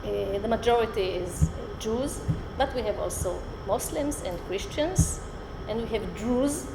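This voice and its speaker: A woman's voice: a little high, unhurried, gravelly. A middle-aged Israeli woman, a Hebrew speaker, giving an unprepared guest lecture in English with many "uh" fillers, filmed by a far camera in a lecture room. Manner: animated, gestures a lot, many "uh" fillers. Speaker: college lecturer. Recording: roomy hall with background noise.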